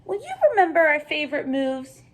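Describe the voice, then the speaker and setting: A woman's voice: high-pitched, lively intonation, fairly quick. A woman voicing an eager, affectionate young boy from a picture book, full of wondering questions for his dad. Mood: eager, questioning. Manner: bright-eyed, questioning, wondering.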